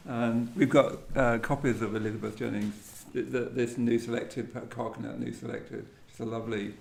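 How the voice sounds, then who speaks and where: A man's voice: medium pitch, steady tone, normal speed, vocal fry. A man hosting a poetry event, talking informally about books in a hesitant, tentative way. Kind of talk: informal literary discussion. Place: echoey indoor room at a live event. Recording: echoey room with faint background noise.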